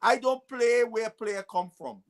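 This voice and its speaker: A man's voice: extremely high pitch, measured pace. A man from Guyana with a Guyanese accent, a cricket pundit speaking his mind emphatically on a panel. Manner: emphatic, blunt when challenged. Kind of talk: panel discussion.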